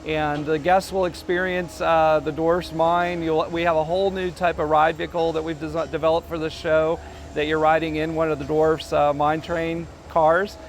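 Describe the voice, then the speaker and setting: A man's voice: a little high, flat delivery, normal speed, lo-fi sound, slight background noise. A man who designs theme park rides, explaining a new attraction enthusiastically, with many uhs and restarts. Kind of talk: interview explanation.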